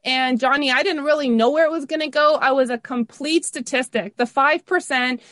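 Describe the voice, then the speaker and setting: A middle-aged woman with a Canadian accent speaks in a high-pitched voice. She talks as a guest in an interview, telling personal stories. The sound is dry, with very little room in it. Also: moderate pace, a bit bright, no room sound. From Toronto area, Canada. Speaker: interview guest, university teacher.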